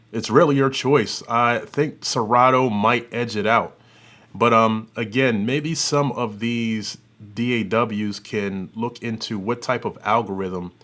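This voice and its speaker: A man hosting an audio software tutorial, talking informally and thinking aloud as he works through a demo. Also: on the low side. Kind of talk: casual demo commentary.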